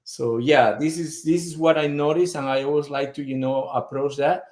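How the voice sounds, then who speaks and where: A man speaks as a guest in a casual chat, his voice pitched a little high. He hunts for words and leans on fillers. The room around him is noticeably echoey. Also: steady tone, normal speed, a little mellow. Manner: strongly accented, searching for words, many fillers. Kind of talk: casual conversation.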